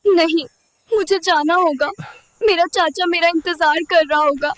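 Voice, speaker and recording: A woman's voice: very high voice, steady tone, a little slow, a little hiss or hum. A woman playing a determined but frightened teenage girl in an earnest, emotional dramatised moral story. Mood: anxious, determined. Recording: voice under clear background music.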